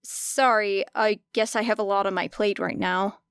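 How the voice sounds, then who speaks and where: A woman's voice: medium pitch, steady tone, normal speed, fairly bright tone, clean tone, dry recording, clean studio sound, close to an everyday voice. A woman voicing a weary, guarded teenage girl student who speaks curtly, in an audiobook. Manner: terse, slightly harsh. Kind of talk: audiobook character voice.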